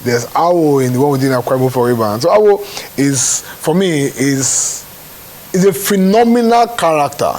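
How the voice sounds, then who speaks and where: A man's voice: a little high, expressive, moderate pace, a little mellow. A Nigerian man giving an informal interview answer, repeating points for emphasis and often checking that he is understood. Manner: emphatic repetition, frequent check-in tags. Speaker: stage play producer. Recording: clearly noisy with hiss.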